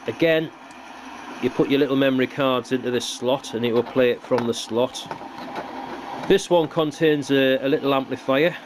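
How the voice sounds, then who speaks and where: A British man casually narrating a hands-on hobby demonstration as he presents his model railway build. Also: mid-range voice. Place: indoor hobby workbench. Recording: noisy room recording with hum.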